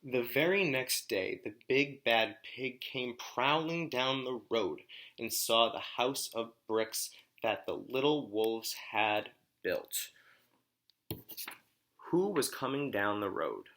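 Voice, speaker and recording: A man reading a story aloud as a teacher, phrasing it deliberately and simply for children and pausing to ask comprehension questions. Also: mid-range voice, lively intonation, pushed and bright.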